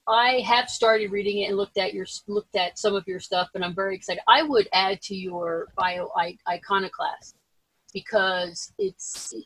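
A female podcast host with a gravelly, creaky edge to her voice, recorded close and dry. She chats casually and haltingly, often doubling back to rephrase. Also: mid-range voice, fairly bright tone, dry recording, low-quality recording. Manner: halting, self-correcting, rambling.

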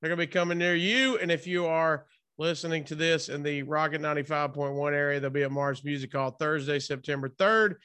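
A man with a high, bright voice hosting a casual interview. He keeps his questions informal and jokey, like loose radio chat. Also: fairly even intonation, brisk, clean tone.

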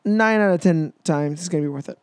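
A man's voice: on the high side, fast, clean tone, no room sound, clean studio sound. A man in his twenties hosting a casual podcast, talking loosely and laughing easily. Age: 20s. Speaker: podcast host.

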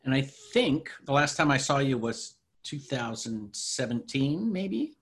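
A man's voice: mid-range voice, sing-song, measured pace, fairly bright tone. A middle-aged man hosting a podcast, reading an intro and asking easygoing interview questions. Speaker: podcast host.

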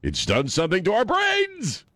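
A man's voice: high voice, huge pitch swings, normal speed, bright, forceful tone, no room sound, studio quality. A man hosting a morning talk radio show, stuffed up with a chest cold, wry and jokey as he chats with his co-hosts. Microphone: studio microphone.

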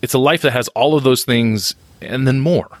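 A man's voice: medium pitch, moderate pace, no room sound. A man talking candidly in a podcast interview, reflective and self-deprecating, often restarting his sentences. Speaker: podcast guest on leadership and success.

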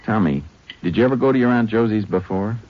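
An actor playing a steady, laconic Texas Ranger investigator in a 1950s radio drama. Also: male voice, close to an everyday voice. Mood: easygoing. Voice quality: very deep, gravelly. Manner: laconic, folksy, measured. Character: steady male Texas Ranger investigator, gentle with children, grimly weary. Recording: dull, noisy old radio recording.

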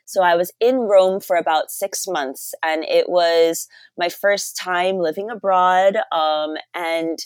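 A woman's voice: fairly low pitch, fairly even intonation, slow pace, few pauses, clear, resonant tone, no echo. A young adult American woman from Hawaii, talking as an interview guest and telling stories in a conversational way. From Hawaii, United States. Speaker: podcast guest, sommelier.

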